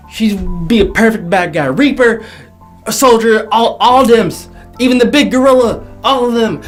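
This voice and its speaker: A man hosting a casual, jokey video countdown, speaking emphatically and repeating phrases for effect. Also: high voice. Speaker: host of a video game movie countdown show. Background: faint background music.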